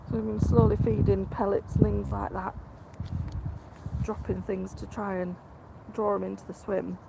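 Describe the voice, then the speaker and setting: A hoarse woman, chatting quietly to viewers on a fishing live stream outdoors by a lake. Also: medium pitch, little pitch movement, moderate pace, noisy background. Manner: speaks quietly, greets viewers. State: hoarse from a cold. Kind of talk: casual live-stream chat.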